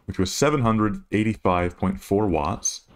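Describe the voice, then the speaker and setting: Low-pitched man explaining step by step. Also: measured pace. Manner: methodical, instructional. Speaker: physics tutor. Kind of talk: step-by-step worked problem explanation.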